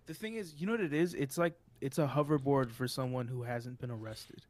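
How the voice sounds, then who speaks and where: A man's voice: medium pitch, brisk, a little mellow, dry recording. A young man co-hosting a podcast who chats casually and throws in teasing jokes. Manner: wisecracking, teasing interjections. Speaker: podcast co-host. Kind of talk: casual chat.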